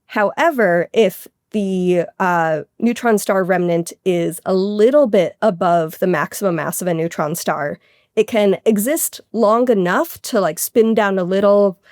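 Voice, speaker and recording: A woman's voice: medium pitch, a little slow, a little mellow, no echo, clean studio sound. A woman astronomer being interviewed, explaining technical science in a casual, conversational way peppered with "like".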